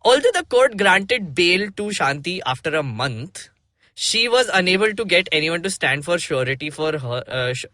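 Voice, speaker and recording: A man's voice: high-pitched, sing-song, normal speed, sharp tone, no echo, studio quality. A man with an Indian accent hosting a podcast, reading aloud and explaining casually, with some Hindi mixed into his English. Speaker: podcast host. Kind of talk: casual podcast talk with dramatic read-aloud.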